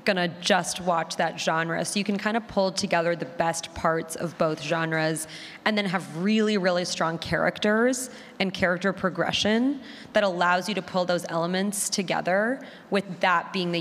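Emphatic low-pitched woman in a hall. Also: a bit bright, slight background noise. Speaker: audio drama producer, panelist. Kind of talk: conversational panel discussion. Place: large room at a live conference panel. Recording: reverberant hall.

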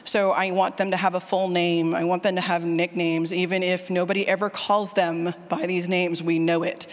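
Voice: mid-range voice, normal speed. Informal, emphatic presenter in a reverberant hall. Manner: animated, informal, emphatic, repeats questions aloud. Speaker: speaker presenting a writing workshop, former college teacher. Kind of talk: conference talk with audience questions. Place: indoor conference session room or hall. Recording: hall reverb on a live talk.

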